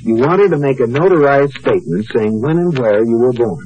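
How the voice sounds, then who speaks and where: A man's voice: mid-range voice, expressive, brisk, close to an everyday voice. A man playing a wry, mock-innocent, teasing husband in 1940s radio comedy. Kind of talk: 1940s radio sitcom acting. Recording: dull, noisy old radio recording.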